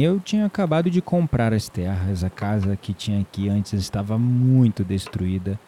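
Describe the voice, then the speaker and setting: Um ator brasileiro interpretando um homem tranquilo, brincalhão e sedutor numa áudio série, em tom de conversa. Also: male voice, mid-range voice, mellow, lightly acted. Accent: Brazilian. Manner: teasing, chuckling, conversational. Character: easygoing, flirtatious man, herb grower, playful and warm. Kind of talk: audio drama dialogue.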